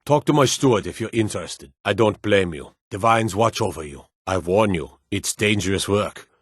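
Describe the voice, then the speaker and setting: An actor playing a stern, dutiful fantasy lord and soldier in a video game, speaking in a formal, measured, authoritative way. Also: male voice, lowish voice, lively intonation, slightly rough, no echo, clean studio sound. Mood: grave, stern. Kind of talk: video game character dialogue.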